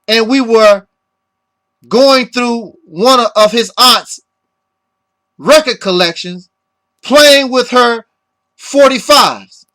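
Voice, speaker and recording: A middle-aged man from the United States giving a reflective personal monologue in deliberate, halting phrases, his voice slightly hoarse. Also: extremely high pitch, sharp tone. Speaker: speaker giving a personal tribute.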